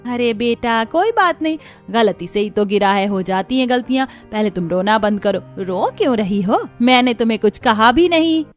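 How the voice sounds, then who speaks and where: A woman voicing a caring middle-aged mother-in-law who is gentle with her daughter-in-law and firm with her son. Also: a little high, animated, moderate pace, faint music behind, close to an everyday voice. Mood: soothing. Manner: kind but stern.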